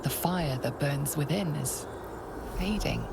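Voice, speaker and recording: An actress narrating a fantasy game as a grave, ominous storyteller, measured and hushed with a breathy edge. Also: female voice, deep voice, animated, heavy background noise, full character voice. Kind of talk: scripted second-person game narration.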